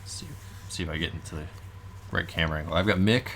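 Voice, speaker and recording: A young man with a low, slightly hoarse voice co-hosts a casual board game show. He thinks aloud and explains his tactics, filling pauses with ums and restarts. A faint noise sits under the recording.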